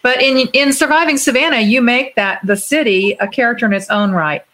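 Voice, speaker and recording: A woman's voice: a little high, fairly quick, fairly bright tone. A woman co-hosting a book panel, asking questions in a casual, conversational way. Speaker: panel co-host, writer. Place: Savannah resident.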